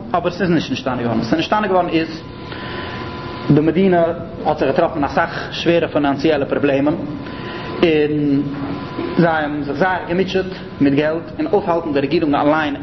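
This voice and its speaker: Higher-voiced Yiddish-accented man lecturing, echoey recording. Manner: didactic, repeats and rephrases points. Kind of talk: explanatory religious-political lecture. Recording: muffled, noisy, echoey room recording.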